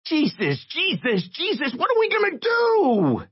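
A man voicing a tiny, frantic, streetwise reporter with a squeaky, irritating little voice, in an audiobook. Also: wildly swooping pitch, normal speed, breathy edge, muffled recording, exaggerated voice. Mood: panicked. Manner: streetwise slangy American talk.

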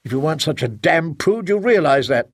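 A man's voice: on the high side, animated, brisk, no echo, clean studio sound. A man playing a defensive, angry novelist husband. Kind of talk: audiobook character voice.

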